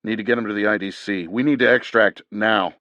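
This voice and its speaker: A man's voice: on the low side, a little fast, sharp tone, no room sound, lo-fi sound. A man doing a terse combat medic. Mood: clinical. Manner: terse and clinical. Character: focused male combat medic.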